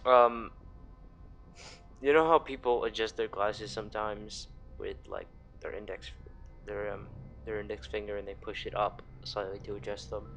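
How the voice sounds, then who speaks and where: A man giving casual, rambling commentary over a video game in a hesitant, halting way. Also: fairly low pitch, steady tone, a bit bright, faint music behind.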